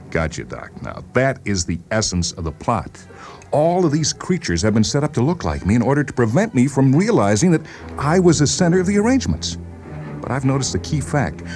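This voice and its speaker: A man playing an agitated, paranoid patient in a dramatized story, insistent, halting and repeating himself. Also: medium pitch, a little mellow, close to an everyday voice. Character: agitated paranoid male patient convinced the world conspires against him. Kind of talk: dramatized short story reading.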